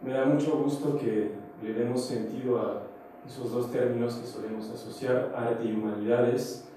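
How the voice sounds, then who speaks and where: A man giving a semi-formal, unscripted conference talk as an invited speaker, reflective, with hesitant pauses. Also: mid-range voice, fairly even intonation. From outside Mexico. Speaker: theatre company director, invited speaker. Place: large echoey conference room. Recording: very echoey hall, distant mic, faint noise.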